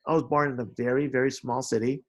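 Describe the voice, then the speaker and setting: A man's voice: medium pitch, fairly even intonation, quick pace. A man explains material in an informal lecture, often checking in with "right?" and repeating key phrases. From Indonesia, moved to America at eight. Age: 40s. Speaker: lecturer, science instructor.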